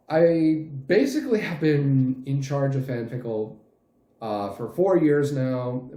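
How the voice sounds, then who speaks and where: A man in his late twenties giving a casual channel update in a halting, rambling way. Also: mid-range voice, warm tone. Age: late 20s. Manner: halting, rambling, frequent chuckles mid-sentence. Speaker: channel host and fan dub group leader. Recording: roomy echo, faint music behind.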